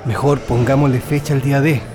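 A man's voice: mid-range voice, flat delivery, fairly quick, close to an everyday voice. An actor playing an eager, wry prisoner digging an escape tunnel in a radio drama, savoring his words. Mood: urgent. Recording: long tunnel reverb, music behind.

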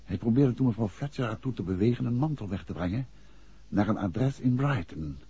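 An actor playing a calm, probing gentleman detective in a 1960s Dutch radio drama. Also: fairly low pitch, brisk, slightly rough, exaggerated voice. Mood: musing. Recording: dull 1960s radio recording.

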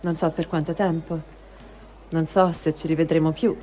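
A woman playing a resigned displaced person, reading a first-person diary in a measured, sober way. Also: low-pitched, flat delivery, mellow, rough recording. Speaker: reader of testimony.

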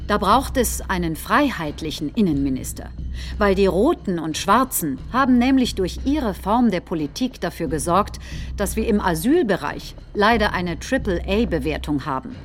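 Woman playing a folksy combative populist politician, radio play, deliberate rhetoric, mid-pitched voice. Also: very animated, a little hiss or hum, lightly acted. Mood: combative, mocking. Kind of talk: staged recitation of political speeches.

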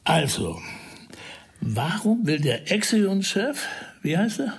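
A man playing a shrewd, unflappable police detective in a radio crime drama, explaining things in a measured, wry way. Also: high voice, very animated, vocal fry, husky, heavily acted. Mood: probing, calm. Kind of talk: naturalistic radio drama dialogue.

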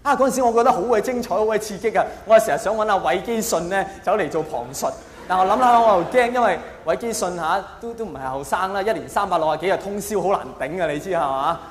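A man's voice: high-pitched, quick pace. A man with a Hong Kong Cantonese accent delivering a colloquial stand-up monologue, full of exaggeration and rhetorical questions. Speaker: stand-up comedian. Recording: echoey hall, faint background noise.

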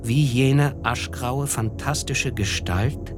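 An actor playing a reflective, melancholy narrator in a literary radio play, speaking in a measured, solemn way. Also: male voice, mid-range voice, music in the background, natural voice. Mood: somber, brooding. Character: reflective, melancholy male narrator recalling a tragedy.

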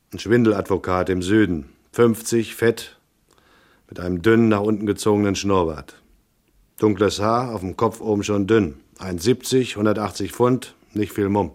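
A man's voice: lowish voice, lightly acted. An actor playing a cool, tough private detective in a noir radio drama, laconic and matter-of-fact as he narrates and talks. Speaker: narrator and lead character.

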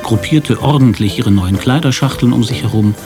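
A man's voice: medium pitch, moderate pace. Male radio drama narrator over music.

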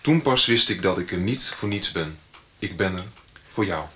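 An actor playing a sincere young singer giving a hesitant, informal thank-you speech in a radio soap. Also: male voice, deep voice, expressive, heavy background noise. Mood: tender, solemn. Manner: hesitant, with pauses and fillers.

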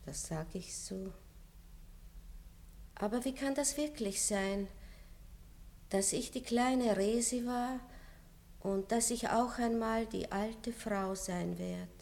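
Wistful noble princess. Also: female voice, on the high side, slow, vocal fry, pauses often, darkish tone. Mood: bitter, wistful. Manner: melancholy, drops to a whisper in reflection. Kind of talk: 1960s radio play acting.

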